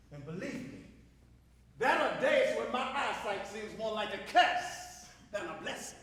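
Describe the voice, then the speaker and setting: A man performs an ageing, earnest South African schoolteacher on stage. The delivery is declamatory and philosophical, bright and forceful, with emphatic pauses. It carries from a distance through a long, echoing hall. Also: very high-pitched, a little hiss or hum. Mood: troubled. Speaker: drama student performing a monologue. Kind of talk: theatrical stage monologue. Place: large ceremony hall stage.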